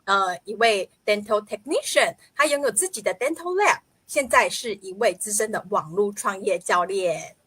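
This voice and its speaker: A woman's voice: mid-range voice, big pitch swings, normal speed, few pauses, fairly bright tone, slightly rough, no echo. A woman from Taiwan, a co-host, opening the show and introducing herself in a cheerful announcing manner over a video call.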